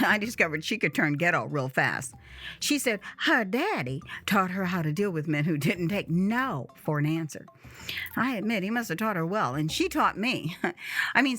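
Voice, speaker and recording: Very animated woman storyteller. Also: medium pitch. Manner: theatrical, expressive, emphatic delivery. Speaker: storyteller, author reading own memoir. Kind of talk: dramatized first-person storytelling.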